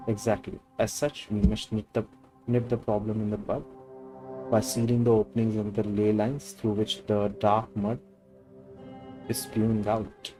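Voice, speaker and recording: A man's voice: lowish voice, flat delivery, a little slow, dark tone, soft background music, poor audio. A man with an Indian accent live-streaming a game, switching between English and Hindi as he reads story dialogue aloud and comments.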